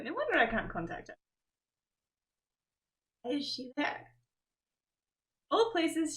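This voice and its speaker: A woman reads a visual novel aloud on stream. Her voice is high, bright and swings widely in pitch. She mixes the reading with casual banter. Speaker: game streamer.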